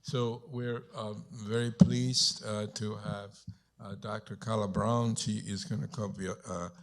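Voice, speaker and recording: A man's voice: fairly low pitch, little pitch movement, slow. A man moderating a conference panel, introducing speakers hesitantly with many fillers.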